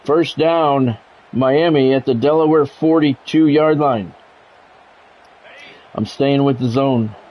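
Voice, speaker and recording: A man's voice: medium pitch, takes it slowly, pauses often, lo-fi sound. A middle-aged or older man giving live play-by-play of a football video game in short, clipped announcer phrases. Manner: announcer-like, short clipped phrases, excited calls on big plays.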